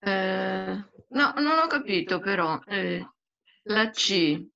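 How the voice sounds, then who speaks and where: Very slow, gravelly Italian woman student. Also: medium pitch, expressive, bright, forceful tone. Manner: hesitant, puzzled, asks questions. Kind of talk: reading aloud in class. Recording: muffled video call audio.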